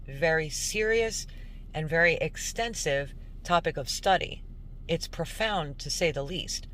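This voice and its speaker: A woman giving earnest, emphatic religious commentary as a host, in deliberate narration. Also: lowish voice, a little hiss or hum. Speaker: host introducing a recorded call.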